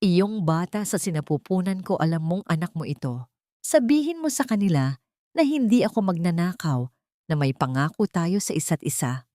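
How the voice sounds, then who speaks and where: A woman's voice: fairly low pitch, big pitch swings, normal speed, warm tone, no room sound, studio quality. A woman with a Filipino accent voicing a desperate, humiliated young pregnant woman who pleads, in an audio story. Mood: desperate pleading.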